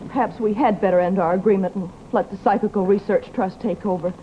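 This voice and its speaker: A wronged young lady of vintage radio drama, played by an actress. She speaks formally, at a middling pitch, with a plaintive edge that can turn tart. The old recording is dull and faintly noisy. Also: steady tone, quick pace, lightly acted. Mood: indignant. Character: prim, aggrieved young woman suspected of witchcraft. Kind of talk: old-time radio horror-comedy acting.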